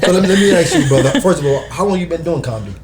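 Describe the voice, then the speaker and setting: Guy interviewer, voice a bit high, slangy questions, people noise behind him. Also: lively intonation, very fast, a crowd in the background. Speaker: talk show interviewer. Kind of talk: casual interview.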